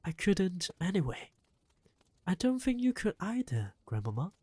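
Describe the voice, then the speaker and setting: A reader voicing an excitable young boy in an audiobook, talking eagerly and shouting with excitement. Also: mid-range voice, measured pace, slightly rough, no room sound, pitched above the performer's own voice. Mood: earnest. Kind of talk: audiobook character reading.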